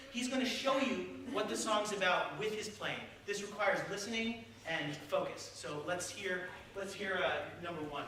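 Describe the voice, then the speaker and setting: A man's voice: sits high, background noise. A male opera singer teaching a class of young listeners in a playful, encouraging way, often asking them questions. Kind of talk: lively talk to a young audience. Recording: echoey hall from far off, piano.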